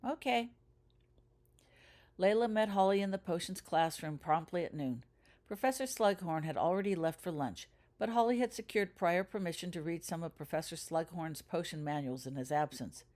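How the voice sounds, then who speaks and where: A woman's voice: lowish voice, fairly quick, a little mellow. A woman narrating a story as an author reading their own fiction, in a scripted audiobook style.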